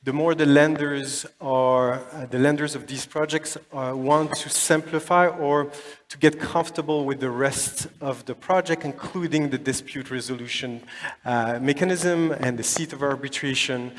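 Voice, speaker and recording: A man's voice: medium pitch, fairly even intonation, normal speed, a little hiss or hum. Guy on a conference panel, stops and restarts a lot, echoey hall. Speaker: panelist from an arbitration institution.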